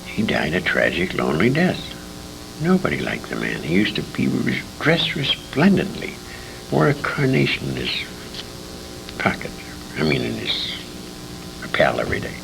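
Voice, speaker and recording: A man's voice: huge pitch swings, unhurried, creaky, long pauses. An older American man reminiscing in an interview, telling anecdotes emphatically and repeating words for emphasis. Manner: emphatic storyteller, acts out quoted dialogue, repeats words for emphasis. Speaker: interviewee, veteran radio performer recalling his career. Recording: noisy 1980s convention interview tape, rumble.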